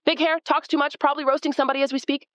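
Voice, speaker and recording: A woman's voice: sits high, level tone, racing, fairly bright tone, no echo. A woman playing an easygoing, confident young American in an audio comedy, slangy and nonchalant. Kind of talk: scripted audio comedy drama.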